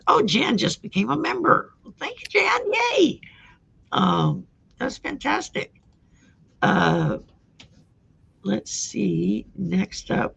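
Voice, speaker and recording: A woman's voice: fairly low pitch, creaky, husky, lo-fi sound. A woman with a Cajun Louisiana accent hosting a live stream, giving a casual, folksy tarot reading with frequent ums. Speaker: host and tarot reader.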